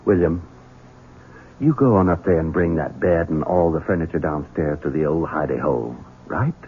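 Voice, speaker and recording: A man's voice: very deep voice, moderate pace, slight background noise. A man playing a scheming, sardonic Southern heir in a radio drama, with a drawling Southern accent. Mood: sardonic, commanding. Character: scheming Southern heir, a sly bachelor son. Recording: muffled old radio broadcast, music behind.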